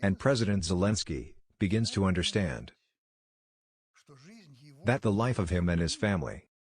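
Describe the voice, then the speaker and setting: A man's voice: low-pitched, a little mellow, breathy edge. A man reading scripted political commentary as a voice-over narrator.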